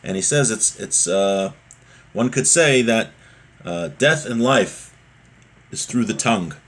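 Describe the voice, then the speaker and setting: A man with a slightly husky voice giving a religious text lesson, reading aloud and explaining, repeating and rephrasing points for emphasis. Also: lowish voice, animated, slow, fairly bright tone. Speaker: religious teacher giving a class.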